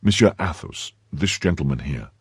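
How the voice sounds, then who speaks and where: A man acts out a young French gallant in a storybook reading, in a low, husky voice. He is confident and affectionate, coaxing one moment and briskly commanding the next. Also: animated, no echo, studio quality, heavily acted. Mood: tender, coaxing. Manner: confident, coaxing, quick to give orders.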